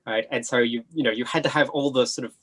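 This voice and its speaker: A man's voice: medium pitch, fairly even intonation, fast talker, fairly bright tone. A man speaking informally as a panelist, discursive and often checking for agreement. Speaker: panelist, writer and editor. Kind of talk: casual panel chat.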